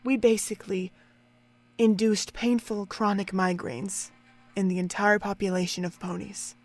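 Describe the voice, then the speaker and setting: A woman playing a cold, scornful female pony overseer in an audio drama, speaking in deliberate, contemptuous monologue. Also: mid-range voice, level tone. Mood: calm, sinister explaining.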